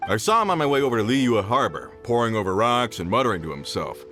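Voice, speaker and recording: An old mining foreman, mid-pitched with hugely swinging intonation. He sounds earnest, friendly and easily flustered, in a video game style with music behind him. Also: big pitch swings, quick pace, sharp tone. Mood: explaining.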